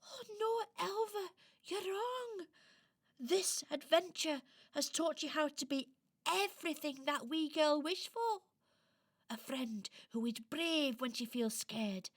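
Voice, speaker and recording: Woman playing a gentle, unhurried Christmas fairy. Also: sits high. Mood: tender, encouraging. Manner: gentle, reassuring, motherly. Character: kind, encouraging Christmas tree fairy, female. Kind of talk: children's radio play acting.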